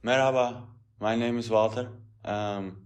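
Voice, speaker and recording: A man's voice: fairly low pitch, steady tone, a little slow, soft background music. A man in his twenties from the Netherlands, an exchange student answering in plain short sentences with hesitant fillers. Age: 20s. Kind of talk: casual interview answers.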